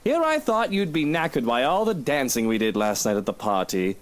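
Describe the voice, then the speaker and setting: An actor playing a charming, breezy, wealthy husband in a visual novel game, theatrical and teasing. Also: male voice, medium pitch, very animated, brisk, lightly acted. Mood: playful, flirtatious. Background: faint music.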